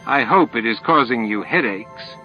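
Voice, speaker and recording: A man's voice: mid-range voice, animated, normal speed, close to an everyday voice. A man voicing a defiant, righteous female alien rebel with a snout, firm and accusing, in an audiobook. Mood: amused defiance. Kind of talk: audiobook character acting. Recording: dull lo-fi tape with music.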